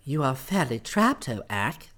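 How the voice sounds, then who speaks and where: A man's voice: medium pitch, big pitch swings, unhurried, a bit bright. A man voicing a gracious, playful female queen of the forest nymphs in a storybook, with a light, courtly manner. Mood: gleeful.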